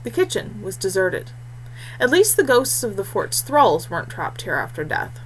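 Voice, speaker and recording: A woman's voice: mid-range voice, very animated, normal speed, slight background noise, poor audio. A woman reading a scripted fantasy story aloud as its narrator.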